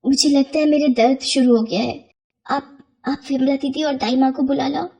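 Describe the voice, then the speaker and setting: Woman acting a high-voiced, devoted village mother. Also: steady tone, normal speed, warm tone. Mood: unwell, uneasy. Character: devoted young village wife and mother, tender, emotional. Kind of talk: acted dialogue in a Hindi bedtime story.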